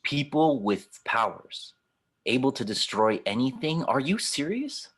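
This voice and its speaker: A man's voice: mid-range voice, very animated, a little slow, fairly bright tone, husky, full character voice. A man playing a skeptical, wisecracking space gunslinger in an audio drama table read, blunt and sardonic. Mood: incredulous.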